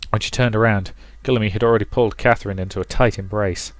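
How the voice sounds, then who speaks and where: A man's voice: lowish voice, fairly even intonation, quick pace, no room sound. A man reading a visual novel aloud as dramatic storybook narration for a let's play.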